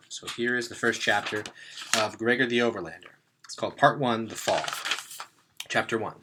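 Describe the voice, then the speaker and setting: A man reading a story aloud as narrator, in an expressive way with dramatic emphasis. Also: fairly low pitch, noisy background. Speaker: read-aloud reader.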